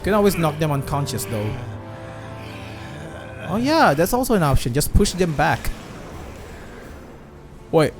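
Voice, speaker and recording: Animated young woman commenting on gameplay. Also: low-pitched, measured pace, long pauses, over music. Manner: blunt, incredulous, jokey, mild swearing. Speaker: game streamer.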